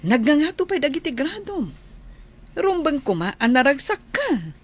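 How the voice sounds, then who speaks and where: A woman acting as a kindly, encouraging high school teacher in a radio drama, with an Ilocano Filipino accent. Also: normal speed. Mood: teasing, encouraging. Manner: animated, encouraging.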